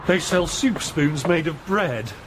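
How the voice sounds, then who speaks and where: A man's voice: on the high side, animated, takes it slowly, background noise. An actor playing a muddled, dim but amiable man, bumbling and hesitant, in a radio comedy drama. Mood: dreamy, pleased.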